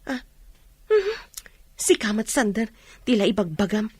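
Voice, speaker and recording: An actress playing a nosy, teasing young married friend in an Ilocano radio drama. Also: female voice, on the high side, sing-song, measured pace, a little mellow, slightly rough, no echo, full character voice. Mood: teasing, coy.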